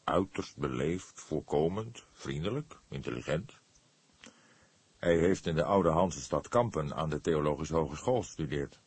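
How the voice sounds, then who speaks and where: A seasoned senior police detective from an audiobook, played by a male reader. The voice is low and husky, measured and patient, earnest in explaining things. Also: expressive, a little mellow, no room sound, full character voice. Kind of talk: audiobook character dialogue.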